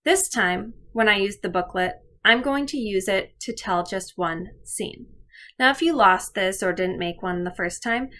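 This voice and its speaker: A woman's voice: medium pitch, unhurried, pushed and bright, slight background noise. A woman speaking as an elementary teacher, giving children step-by-step instructions in a video lesson. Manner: clear step-by-step instructing, addressing pupils directly.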